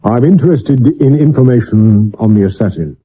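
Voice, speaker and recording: An actor playing a sober Scotland Yard detective of Victorian times, formal and measured, with a British accent, in a 1970s radio drama. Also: male voice, on the low side, expressive, slightly rough. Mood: grave, businesslike. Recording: dull old medium wave radio recording.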